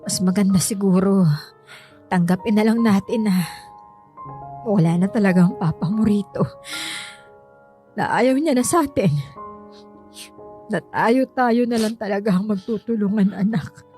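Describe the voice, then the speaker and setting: A woman's voice: medium pitch, a little slow, long pauses, dark tone, faint music behind, natural voice. A woman with a Filipino accent playing a bitter, wounded middle-aged mother in an emotional, melodramatic radio drama. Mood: sorrowful, apologetic.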